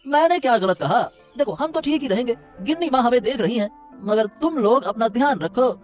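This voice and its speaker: A man's voice: very high voice, lively intonation, fairly quick. A man voicing a vengeful, taunting relative in a Hindi family cartoon, needling and repeating himself. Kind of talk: Hindi moral-story cartoon voice acting. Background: music.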